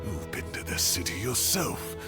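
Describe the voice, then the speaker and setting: An actor playing a weary, earnest older scholar in a video game, speaking in a reflective way. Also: male voice, sits low, sing-song, moderate pace. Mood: earnest, wistful. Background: background music.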